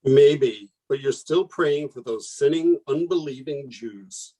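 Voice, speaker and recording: Husky, anguished man pleading in earnest acted. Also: medium pitch, slow pace, warm tone, poor audio. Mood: reproachful, tender. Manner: pleading, intense, argumentative. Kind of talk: radio play acting.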